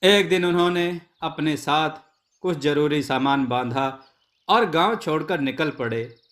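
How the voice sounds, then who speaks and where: A man telling a scripted folk tale as a storyteller, in a measured and deliberate manner. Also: a little high, fairly bright tone.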